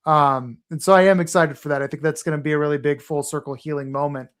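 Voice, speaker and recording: Podcast guest, a man raised in Texas; a touch high-pitched, earnest and rambling. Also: steady tone, fast, fairly bright tone, clean tone. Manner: earnest, rambling, with fillers and restarts. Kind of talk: casual podcast chat.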